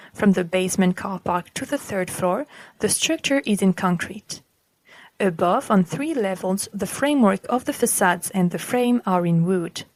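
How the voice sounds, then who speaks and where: A woman presenting a podcast, reading a scripted text in a formal, measured manner. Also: mid-range voice, no room sound, poor audio. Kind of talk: scripted narration.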